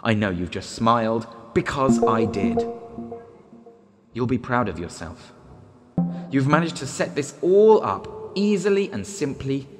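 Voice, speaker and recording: An actor playing a disembodied recorded guide in a one-act drama, speaking in a measured, deliberate, persuasive way. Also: male voice, mid-range voice, expressive, lightly acted. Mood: calmly persuasive. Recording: long hall reverb, loud music behind.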